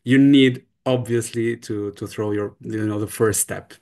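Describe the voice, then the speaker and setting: A man's voice: lowish voice, fairly even intonation, a little slow, warm tone. A man from the Balkans, not a native English speaker, answering on a panel as a university representative in an earnest, persuasive way, with many "you know" fillers. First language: not English; a Balkan language.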